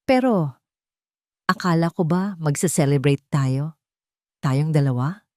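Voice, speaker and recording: Narrator lady doing a soft clingy girlfriend, kinda hurt and pleading, audio drama, dry recording. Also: sits low, unhurried, dry recording. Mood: hurt, hesitant. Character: tender, hurt, clingy young sweetheart.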